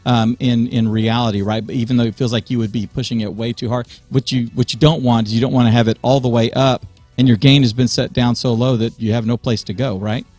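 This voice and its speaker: A man's voice: mid-range voice, steady tone, brisk, darkish tone, no echo, soft background music. Man explaining in a dry, close voice. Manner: conversational, explains with everyday examples. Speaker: church media co-host. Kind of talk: casual podcast chat.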